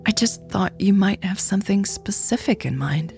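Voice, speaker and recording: A woman voicing a tender, secretive woman in an audiobook, speaking softly and breathily in a gentle, apologetic, pleading manner. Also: medium pitch, animated, moderate pace, soft background music, voice pushed up. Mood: reassuring. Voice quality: soft, breathy, half-whispered. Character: Elle, tender secretive woman leaving her lover at night. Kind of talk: audiobook character dialogue.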